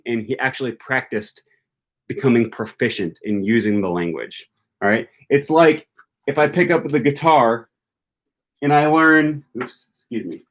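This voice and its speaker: A young man hosting a live language-learning discussion, talking in an emphatic, teacherly way and often checking in with "okay" and "right.". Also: medium pitch. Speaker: language learning channel host. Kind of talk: live-stream discussion, reading chat comments.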